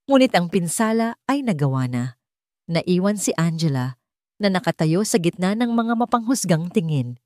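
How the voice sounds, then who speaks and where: Audio story narrator: Filipino woman, deep-voiced and warm, scripted dramatic delivery. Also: sing-song, normal speed, dry recording, studio quality.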